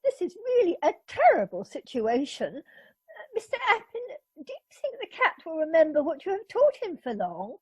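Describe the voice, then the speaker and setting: A woman's voice: very high-pitched, wildly swooping pitch, moderate pace, a little mellow, no room sound, exaggerated voice, low-quality recording. A woman acting a fussy, anxious upper-class lady in a radio play, fluttery and fretful. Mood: nervous.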